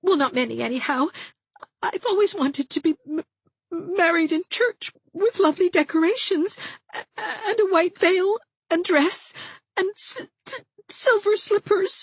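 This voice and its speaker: High whimpering young bride-to-be. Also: female voice, expressive, unhurried, no echo, heavily acted. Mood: tearful, whiny. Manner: sobbing, stammering, wailing. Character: whimpering, childish young bride-to-be, frightened and self-pitying. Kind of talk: audiobook character voice. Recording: thin phone-like narrow-band sound.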